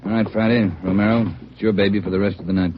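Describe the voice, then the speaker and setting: Fast-talking male police captain, played by a man, commanding, lowish voice, vintage radio drama, muffled. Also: flat delivery, noisy background, close to an everyday voice. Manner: brisk, giving orders.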